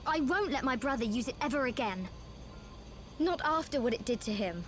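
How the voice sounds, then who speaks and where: A woman's voice: high voice, expressive, moderate pace, slight background noise. An actress playing a protective, strong-willed young woman in a video game cutscene, firm and plain-spoken. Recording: game music under the voice. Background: loud background music.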